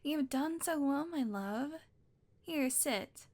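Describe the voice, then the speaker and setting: Woman voicing a possessive witch, young, slightly high voice, sweet and coaxing, drops to whispers, audio roleplay. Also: animated, slow pace. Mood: sweetly obsessive. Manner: sweet, coaxing, softly controlling, slips into whispers.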